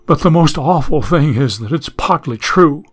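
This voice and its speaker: A man's voice: on the high side, expressive, moderate pace, husky, voice pushed down. A man reading an audiobook, voicing a genteel young woman from the 1870s who is anxious, prim and lost in the modern world. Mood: troubled. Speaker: audiobook narrator.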